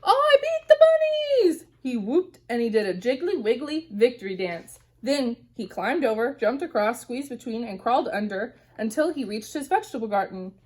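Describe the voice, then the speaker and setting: A woman teacher reading a storybook aloud to young children in an animated way, pausing to ask them questions. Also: on the high side.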